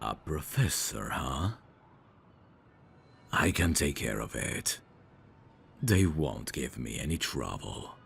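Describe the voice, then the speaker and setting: A man playing a menacing, teasing mafia boss in an ASMR roleplay, speaking in a deliberate, taunting way. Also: rumbling low, sing-song, gravelly, slight background noise, full character voice. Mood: cold, threatening. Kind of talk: ASMR roleplay audio drama.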